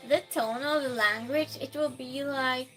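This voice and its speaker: A woman's voice: high-pitched, measured pace, a bit bright, soft background music, poor audio. A woman with a Norwegian accent, a native Norwegian speaker, chatting casually on a stream and reading out viewer comments. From Norway. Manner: chatty, reads out chat messages and asks would-you-rather questions.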